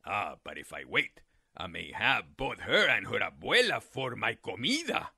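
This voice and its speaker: A man voicing a sly, hungry coyote villain from a storybook, with slow, gravelly, menacing delivery. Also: lowish voice, big pitch swings, sharp tone, dry recording, clean studio sound, pitched below the performer's own voice, full character voice. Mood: scheming.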